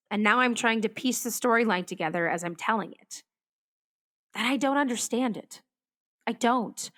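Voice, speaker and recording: A wistful, searching storm-chasing meteorologist. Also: female voice, medium pitch, fairly bright tone. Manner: thoughtful, searching, hesitant. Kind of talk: confessional monologue in an audio drama.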